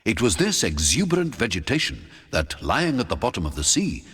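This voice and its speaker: A man narrating a scripted first-person adventure audiobook in a dramatic, measured storytelling manner. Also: fairly low pitch, wildly swooping pitch, a bit bright, husky. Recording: long hall-like reverb.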